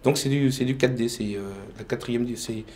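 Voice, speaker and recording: A man's voice: mid-range voice, fairly even intonation, normal speed, a little mellow. A man from France, a painter and decorator, answering interview questions hesitantly with many fillers.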